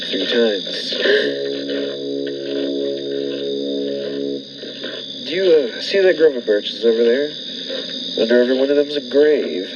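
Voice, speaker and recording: A man's voice: mid-range voice, expressive, moderate pace, natural voice. A man playing a menacing, deranged murderous husband in a 1940s-style radio thriller, folksy, insistent and coolly sinister. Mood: menacing, confiding. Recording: dull lo-fi radio-play audio, clear noise.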